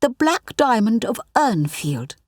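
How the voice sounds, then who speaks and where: A woman's voice: on the high side, big pitch swings, slow, no room sound, clean studio sound, voice pushed up, full character voice. Woman voicing a stern, proper older female museum doctor. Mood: disbelieving. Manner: polite, clipped, reproachful.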